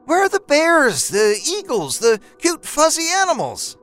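A man voicing an exasperated, scornful wife who scolds in a sharp, incredulous manner. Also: extremely high pitch, huge pitch swings, a little slow, soft background music, pitched above the performer's own voice, heavily acted. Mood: angry scorn.

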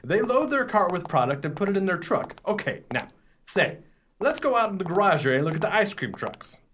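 A man's voice: sits high, very animated, fairly quick, background noise. A man playing a pushy, cheerfully overconfident ice cream truck driver in a radio play, with folksy, brash salesman patter. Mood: matter-of-fact patter.

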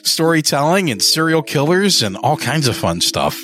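A man's voice: on the high side, big pitch swings, moderate pace, over music. A man hosting a podcast in a conversational, reflective way, often rephrasing as he goes. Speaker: podcast host interviewer. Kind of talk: conversational interview and host talk.

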